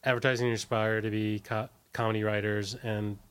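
A man's voice: on the low side, little pitch movement, fairly quick, a bit bright, clear, resonant tone. A middle-aged man talking casually as an interview guest, hesitant and meandering, often trailing off. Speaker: interview guest, musician and advertising copywriter.

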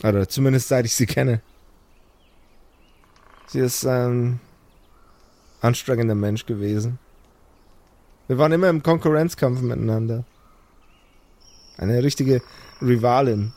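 A man's voice: mid-range voice, unhurried, long pauses, mellow, natural voice. Guy playing a tough scarred sword woman, dry humor, stops and starts, audio rpg. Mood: dry, wry.

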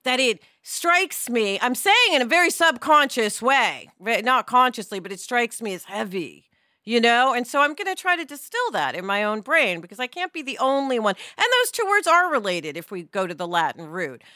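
A woman's voice: fairly high pitch, very animated, normal speed, bright, forceful tone, no echo, clean studio sound. A woman with an American accent co-hosting a podcast, chatty and prone to digressions. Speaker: podcast co-host. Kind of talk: casual chat with some scripted intro.

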